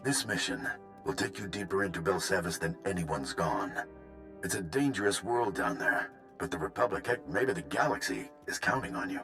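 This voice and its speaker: A man playing a gruff, weary Republic field officer giving laconic mission briefings in a video game, with a gravelly voice. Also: deep voice, normal speed, bright, forceful tone, soft background music, exaggerated voice. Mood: grave, wry.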